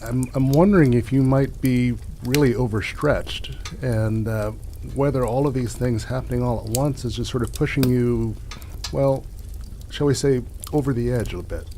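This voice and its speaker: Over clear background noise comes a man acting as a gentle small-town doctor in an improvised radio drama. He picks his words with care, stalling and hedging as he soothes in a mid-pitched voice. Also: close to an everyday voice. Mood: concerned, careful. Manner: halting, tactful, placating, hedging.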